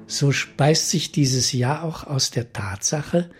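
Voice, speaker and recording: An elderly gentleman at speed dating in a radio play. He speaks very slowly, in halting, formal and careful phrases. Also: mid-range voice, animated, slightly rough, heavily acted. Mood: hesitant, formal. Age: 60s or older. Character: circumspect, stiffly formal senior man on a date.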